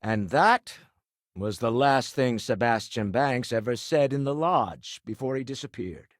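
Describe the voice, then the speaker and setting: An actor playing a shrewd, wealthy older businessman in a video game, proud and wry, with a husky voice and a dry, articulate, refined delivery. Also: mid-range voice, expressive, measured pace, no room sound, lightly acted. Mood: solemn. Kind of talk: video game character dialogue.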